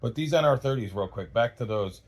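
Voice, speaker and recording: A man giving a casual, unscripted gear review in a halting, rambling way, often backtracking mid-thought. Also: medium pitch, animated. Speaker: host of a radio gear review channel.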